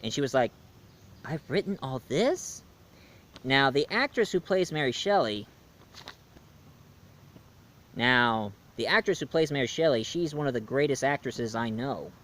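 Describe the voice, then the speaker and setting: Dude doing a slow loose movie review, lots of ums and restarts, mid voice. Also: male voice, medium pitch, expressive, a bit bright. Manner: rambling, loose, with fillers and self-corrections. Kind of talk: casual spoken movie review.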